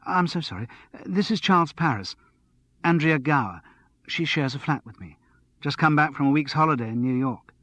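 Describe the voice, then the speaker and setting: A man reading an audiobook, voicing a young woman who fusses kindly over her flatmate. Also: on the high side, moderate pace, dry recording, voice pushed up. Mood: concerned. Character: caring young woman, gently anxious.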